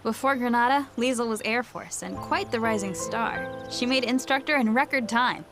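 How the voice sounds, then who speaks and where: A woman's voice: a little high, moderate pace, music in the background, natural voice. An actress playing an upbeat, admiring female comrade in a sci-fi video game cutscene. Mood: enthusiastic.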